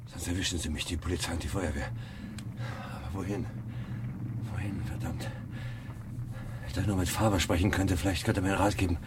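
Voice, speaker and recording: A man's voice: deep voice, fairly even intonation, a little fast, pauses often, heavy background noise, close to an everyday voice. An actor playing a defiant, desperate fireman turned rebel, urgent and intense, in a German radio play from 1970.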